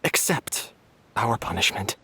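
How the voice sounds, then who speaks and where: An actor voicing a subdued, remorseful youngster in a video game, husky, resigned and halting. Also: mid-range voice, sing-song, pushed and bright, exaggerated voice. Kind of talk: dramatic video game voice acting.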